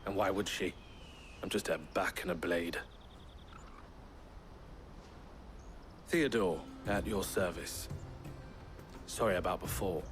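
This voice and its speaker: A man's voice: sits low, moderate pace, faint music behind, natural voice. An actor playing a self-assured, protective and plain-spoken swordsman in a video game, assertive and articulate. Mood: wry.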